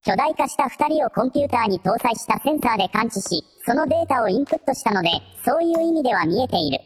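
Woman as a fast-talking, efficient computer assistant. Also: on the high side, animated, slightly rough. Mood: calm, efficient. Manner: clipped, formal reporting. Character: calm, efficient AI computer assistant, concise and factual. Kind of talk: Japanese audio drama acting.

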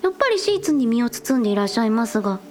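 A bubbly teenage girl idol acted by a woman speaks in a very high, cute voice. She is earnest and excitable, as in an audio drama, with music underneath. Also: racing. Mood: excited. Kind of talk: Japanese audio drama acting.

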